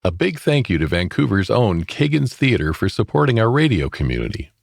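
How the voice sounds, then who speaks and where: A male announcer reads radio sponsor spots from a script. His voice is low and creaky, with lively swings in intonation. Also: no echo, clean studio sound.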